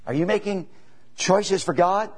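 A man's voice: high-pitched, husky. A man in his seventies preaching a sermon as a traveling evangelist, folksy and repetitive, building to emphatic bursts. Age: 70s. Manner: preaching with rising emphatic bursts and drawn-out pauses; folksy, repetitive, peppered with "you know" and "amen".

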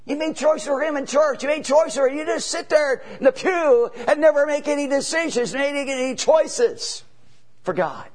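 A man's voice: very high-pitched, lively intonation, darkish tone. A man in his seventies preaching a sermon as a traveling evangelist, folksy and repetitive, building to emphatic bursts. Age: 70s. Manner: preaching with rising emphatic bursts and drawn-out pauses; folksy, repetitive, peppered with "you know" and "amen".

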